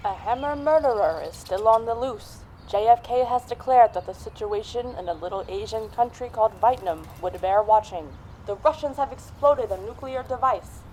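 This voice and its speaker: A woman's voice: on the high side, normal speed, hardly any pauses, a little mellow, slight background noise, natural voice, rough recording. A woman playing a radio news and weather announcer, delivering a scripted bulletin in a radio play. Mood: newsreading.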